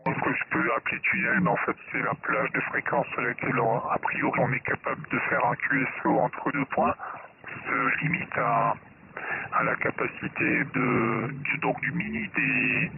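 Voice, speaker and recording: Over a thin, noisy radio link, a Frenchman explains technical matters off the cuff. His voice is lowish and heavily creaky, and he often stops to repeat himself or hunt for a word. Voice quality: gravelly, creaky. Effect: ham radio satellite link. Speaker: amateur radio operator explaining his software. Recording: noisy narrow-band radio transmission.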